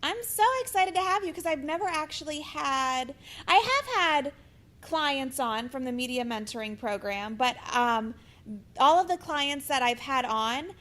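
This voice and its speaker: A woman's voice: high-pitched, expressive, a little slow, bright, forceful tone. A woman hosting a podcast and coaching clients on media, talking in an enthusiastic, promotional way. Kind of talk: upbeat podcast interview.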